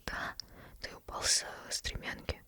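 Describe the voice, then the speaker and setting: A woman voicing a worried old male librarian in a soft ASMR whisper, fussing and relieved. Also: a little slow, close to an everyday voice. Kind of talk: ASMR storytelling.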